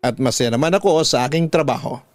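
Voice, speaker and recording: A man's voice: medium pitch, quick pace, no room sound, studio quality. A man with a Filipino accent, a radio drama host reading out a listener's letter as a dramatic story and giving advice.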